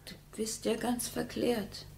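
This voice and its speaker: An actress playing a distrustful, sharp-tongued wife in a radio play, speaking precisely and sceptically. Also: female voice, a little high, little pitch movement, slow, gravelly, crowd noise, heavily acted. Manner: pointed, sceptical, precise diction.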